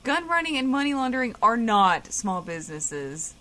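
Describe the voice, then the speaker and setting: A woman's voice: a little high, big pitch swings, moderate pace, pushed and bright. A woman playing a dry, sarcastic, exasperated radio co-host in a comedic audio drama.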